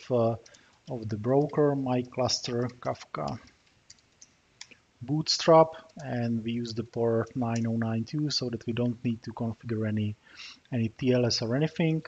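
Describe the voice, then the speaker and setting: A man with a Czech accent walking through a software demo as he types, with frequent filler pauses. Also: medium pitch, slightly rough. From Czech Republic. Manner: narrates while typing, frequent uh fillers. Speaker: software developer demoing a tool. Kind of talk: screencast tutorial walkthrough. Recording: slightly dull, muffled recording with keyboard typing.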